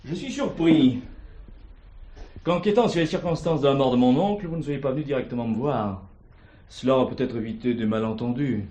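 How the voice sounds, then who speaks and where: An actor playing a proud, aggrieved heir to a country estate, speaking formally and emphatically in a theatrical drama. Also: male voice, mid-range voice, a little fast, mellow, close to an everyday voice. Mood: formal, reproachful. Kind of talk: French stage or TV play acting.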